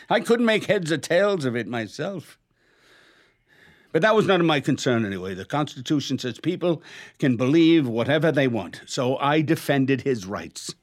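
Actor as a pompous, swooping old lawyer. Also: male voice, mid-range voice, animated, unhurried, lightly acted. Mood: dismissive. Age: older. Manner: pompous, chuckling, theatrical phrasing. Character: aging, crafty, haughty male lawyer, eccentric old-money gentleman. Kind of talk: radio drama acting. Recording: music bed behind the voice.

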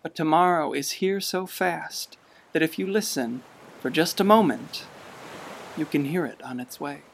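A woman's voice: deep voice, measured pace, vocal fry, a little hiss or hum. An actress playing a folksy narrator in a radio play, musing and conversational as she watches over a town. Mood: musing, wistful. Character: folksy storyteller watching over a river town's generations. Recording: faint music behind voice.

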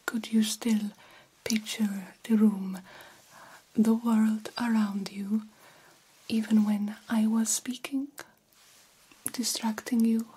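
A woman voicing a formal, grandiose vampire doctor in a breathy ASMR whisper, slowly and theatrically mentoring a fledgling. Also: a little high, fairly even intonation, mellow, a little hiss or hum, rough recording. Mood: coaxing, instructive. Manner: slow, deliberate, formal and theatrical. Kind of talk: ASMR roleplay.

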